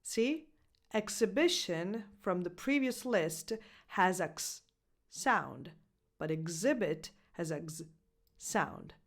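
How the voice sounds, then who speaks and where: A young woman with an Italian accent, giving a scripted English pronunciation lesson as a coach, speaking clearly and slowing down to model words. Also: on the low side, expressive. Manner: clear, deliberate, slows down to spell out words.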